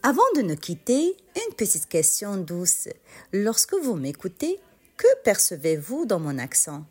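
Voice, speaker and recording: A woman narrating the close of the series in a gentle, inviting way. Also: mid-range voice, wildly swooping pitch, measured pace, slightly rough. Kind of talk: closing narration.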